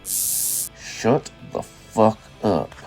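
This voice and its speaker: An actor playing a hot-tempered, troubled father in an audio drama, gruff and prone to swearing. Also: drawn-out words, darkish tone, faint music behind. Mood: furious.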